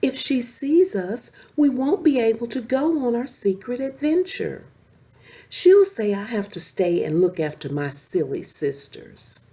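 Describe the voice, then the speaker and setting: A woman voicing an eager, friendly young male rabbit in a children's storybook, with emphatic, lively delivery. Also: a little high, unhurried, voice pushed up. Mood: conspiratorial.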